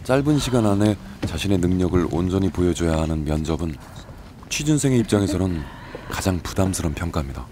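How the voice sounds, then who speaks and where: A man reading scripted documentary narration in a calm, measured way. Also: sits low, a little hiss or hum.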